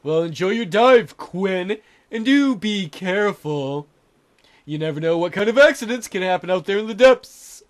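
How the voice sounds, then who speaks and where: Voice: mid-range voice, animated, moderate pace, lightly acted. A man voicing a smug, sleazy older male treasure hunter from a visual novel while playing it. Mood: smug, menacing. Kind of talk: visual novel character voiced by a let's player.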